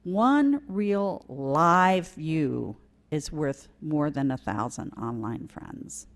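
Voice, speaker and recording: A woman voicing an excitable, nerdy young male bird in a children's story read aloud, eager and chirpy. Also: low voice, very slow, voice pushed down, full character voice. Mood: earnest.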